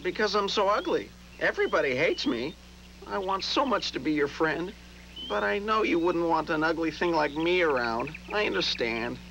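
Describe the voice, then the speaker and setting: A man voicing a lonely, self-loathing young duckling in an animated fairy tale, earnest and plaintive. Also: a little high, normal speed, gravelly, a little hiss or hum, full character voice, low-quality recording. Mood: forlorn.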